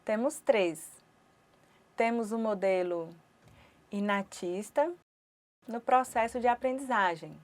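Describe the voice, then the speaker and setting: A woman with a Brazilian Portuguese accent who voices a sign-language teacher's lecture for an online course. She speaks in short phrases broken by pauses. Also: mid-range voice.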